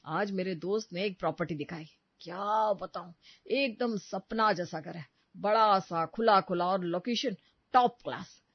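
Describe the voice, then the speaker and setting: A man's voice: high-pitched, no echo, highs cut off. A man playing a self-absorbed, brusque, money-obsessed husband in a dramatised story. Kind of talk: dramatised dialogue in an audio story.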